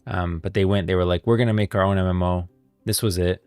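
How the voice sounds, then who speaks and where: A man hosting a casual gaming livestream, chatting informally, thinking aloud in a halting way and repeating phrases. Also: deep voice, fairly even intonation, a little mellow, clear, resonant tone, no echo, soft background music. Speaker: streamer, host of a gaming lore stream. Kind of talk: casual livestream chat, reading posts aloud.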